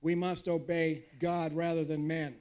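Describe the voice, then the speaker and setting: An older man speaks high and fervent from a rally stage, preacherly and emphatic. He throws in dry, joking asides between the serious lines, the rhythm of a seasoned speaker working a crowd. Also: level tone, unhurried, darkish tone, slight background noise. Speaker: guest speaker at a political rally. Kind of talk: fiery patriotic rally speech. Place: live event in front of an audience.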